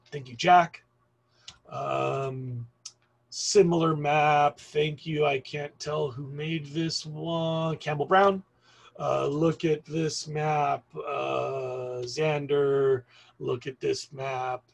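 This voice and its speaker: A middle-aged man from Argentina teaching an online class over a webcam, explaining slowly and stopping often for ums. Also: on the high side, steady tone, creaky. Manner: slow, rambling explanation with frequent ums. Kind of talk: recorded online class lecture.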